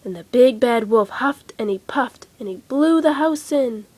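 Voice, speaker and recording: Animated, high-voiced woman storyteller. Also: unhurried, dry recording. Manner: dramatic, emphatic phrasing for children. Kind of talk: expressive children's bedtime story narration.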